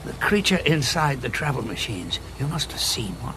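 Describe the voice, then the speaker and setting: An actor playing an inquisitive, grave and compassionate doctor in a sci-fi audio drama, explaining things in a measured way. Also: male voice, medium pitch, big pitch swings, background noise, natural voice. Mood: grave, intrigued.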